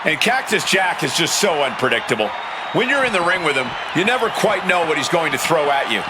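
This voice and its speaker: A man doing excited play-by-play commentary for a wrestling video game in a projected announcer voice. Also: on the high side, brisk, over a noisy crowd. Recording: loud arena noise behind. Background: noisy arena, thuds of a match.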